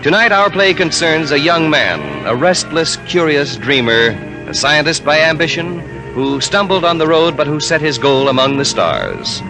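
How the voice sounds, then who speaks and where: A man's voice: on the high side, sing-song, moderate pace, over music, rough recording. An American man narrating a scripted 1940s radio drama as announcer, solemn and dramatic.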